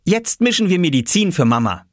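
A man's voice: high-pitched, very animated, brisk, no room sound, clean studio sound, pitched above the performer's own voice. A man voicing a bossy, earnest little boy, a self-important big brother in a children's storybook.

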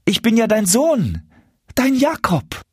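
Man playing an anxious, pleading young dwarf, high-voiced. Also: very animated, a little slow, breathy edge, dry recording, clean studio sound, full character voice. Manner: earnest, pleading questions. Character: bewildered, pleading son turned dwarf, tender and anxious. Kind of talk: storybook character voice in a fairy tale reading.

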